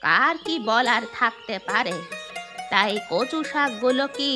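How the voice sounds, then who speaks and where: A woman's voice: fairly high pitch, lively intonation, measured pace. A woman playing a nosy, calculating village granny in a cartoon story, with some music behind. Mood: nosy, probing.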